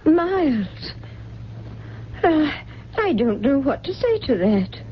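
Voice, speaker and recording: Anguished older mother, high-pitched, swooping. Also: big pitch swings, takes it slowly, heavily acted. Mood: flustered tenderness. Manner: emotional, protesting. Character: anguished, proud older mother in fragile health. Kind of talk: 1970s radio drama acting. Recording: dull, noisy 1970s radio recording.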